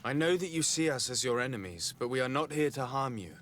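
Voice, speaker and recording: An actor playing a composed, diplomatic envoy in a video game, formal, measured and earnest. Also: male voice, medium pitch, animated, a bit bright, a little hiss or hum, lightly acted. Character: composed, diplomatic young male envoy seeking his lost cousin. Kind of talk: video game cutscene acting.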